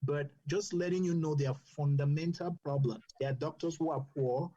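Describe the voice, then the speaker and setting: A man's voice: a little high, steady tone, brisk, dark tone. Doctor, male, earnest podcast guest; muffled lo-fi recording. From Cameroon. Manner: earnest, advocating, with frequent restarts. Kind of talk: earnest explanatory podcast talk.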